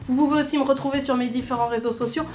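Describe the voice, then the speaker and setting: A young woman vlogging casually to camera, chatty and self-mocking, with quick asides. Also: high-pitched, steady tone, a little mellow, faint music behind.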